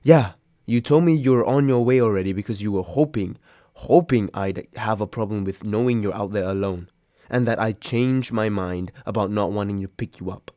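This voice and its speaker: A man's voice: fairly low pitch, normal speed, dark tone, no echo, natural voice. Man playing a grumbling, sarcastic young roommate, dry close sound. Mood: exasperated, protective. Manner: grumbling, sighing, exasperated. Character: grumpy sarcastic young male roommate, secretly caring, woken from sleep. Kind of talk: intimate roleplay audio drama, one side of a phone call.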